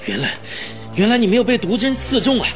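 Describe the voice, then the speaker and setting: An actor playing a cunning murderer in a Mandarin radio drama, snarling and exclaiming in fury as a trapped villain. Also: male voice, very high-pitched, very animated, quick pace. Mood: furious, bitter. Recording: music behind the voice.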